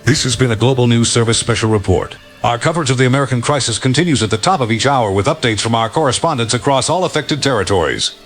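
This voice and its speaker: Actor as fast formal male news announcer. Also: medium pitch, lively intonation, faint music behind, close to an everyday voice. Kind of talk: broadcast news announcement, audio drama.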